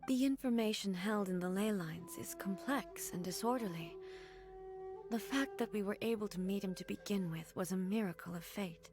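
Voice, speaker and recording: An actress playing a solemn, immortal ruler goddess in a video game, speaking in a measured, formal, philosophical way. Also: female voice, medium pitch, music in the background, natural voice. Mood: calm, reflective. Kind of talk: scripted video game dialogue.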